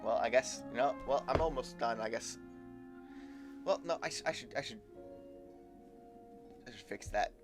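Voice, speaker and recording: A man giving casual live commentary while playing a game, halting, repeating words and trailing off mid-thought. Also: mid-range voice. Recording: game music behind the voice.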